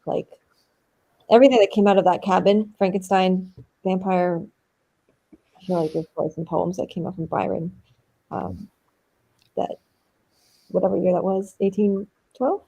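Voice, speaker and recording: A woman chatting casually over a livestream video call, with a creaky, husky voice. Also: mid-range voice, fairly even intonation, moderate pace, pauses often, mellow, dull audio.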